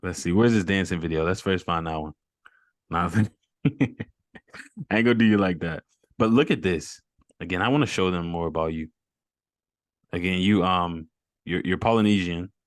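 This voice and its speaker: Deep-voiced upbeat male host. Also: moderate pace, pauses often, dry recording. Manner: upbeat, encouraging, slangy. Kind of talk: casual interview over a video call.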